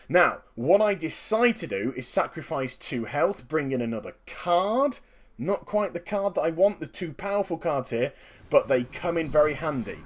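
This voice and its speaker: A man with a slightly croaky voice, giving upbeat, chatty commentary on his own card game match and explaining each move. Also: fairly high pitch. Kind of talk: gameplay commentary.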